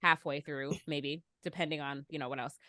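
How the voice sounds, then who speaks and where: A woman's voice: low-pitched, steady tone, moderate pace, bright, forceful tone, no room sound, studio quality. A woman chatting casually as a podcast co-host, with an informal, giggly manner. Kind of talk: casual chat.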